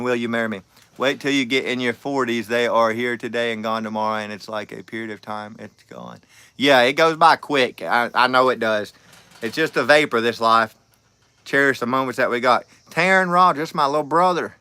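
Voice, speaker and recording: A man in his thirties talks on a livestream in a rambling, folksy way, often trailing off and restarting. His voice is bright and smooth. The sound is dry and close, with no room echo. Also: mid-range voice. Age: 30s. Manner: rambling, friendly, folksy with many fillers and restarts. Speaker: livestream host. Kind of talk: casual livestream chat reading comments.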